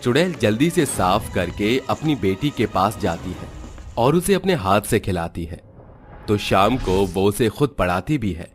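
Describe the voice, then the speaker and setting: A man's voice: lowish voice, sing-song, a little slow, faint music behind. A man narrating a scripted Hindi cartoon story as its storyteller.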